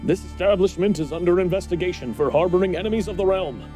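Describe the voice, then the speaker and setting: A stern guard officer, put on by a male narrator in a fantasy tale. He calls out formal orders in a raised, high-pitched voice at a quick clip, commanding throughout. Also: music in the background, lightly acted. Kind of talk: fantasy audio story character acting.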